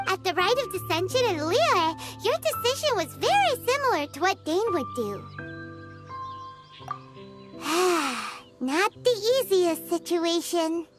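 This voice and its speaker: A woman voicing a tiny, excitable childlike fairy companion in a video game, squeaky and perky, with music playing behind her. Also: takes it slowly, heavily acted. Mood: musing. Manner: perky, exclaiming, expressive. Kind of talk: video game cartoon acting.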